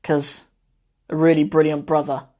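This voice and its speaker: A man, an Arabic teacher chatting casually through a live Q&A, meandering and often trailing off softly. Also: fairly high pitch, completely flat delivery, normal speed. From Cornwall, England. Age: 20s. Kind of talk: casual livestream chat. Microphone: phone mic.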